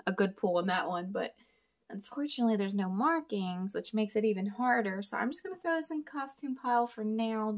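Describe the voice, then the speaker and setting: Young woman vlogger, gravelly fry, chatty, thinking aloud to viewers, mid pitch, indoor tabletop camera. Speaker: storage unit reseller and vlogger. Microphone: camera over a table, hands only in frame.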